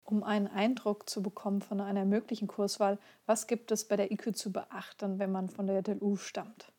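A woman interviewer who introduces the guest and asks formal, measured questions. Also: mid-range voice, level tone, mellow.

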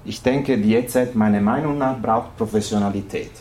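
A man from Italy with an Italian accent, presenting an informal lecture in a halting way, searching for words in a language not his native one. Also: fairly low pitch, a little mellow.

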